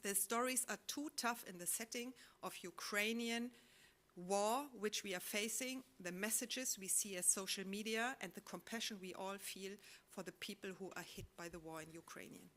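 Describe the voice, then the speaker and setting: A woman giving a public talk, reflective and halting, posing rhetorical questions and restarting her words often. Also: mid-range voice, fairly bright tone. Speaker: conference speaker. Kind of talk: public talk sharing collected testimonies.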